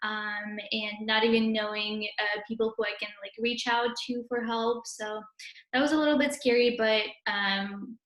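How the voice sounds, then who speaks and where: A woman's voice: on the high side, fairly even intonation, moderate pace, fairly bright tone. A woman in her early twenties from Russia, a native Russian speaker, answering interview questions casually as a university student. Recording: roomy, echoey sound.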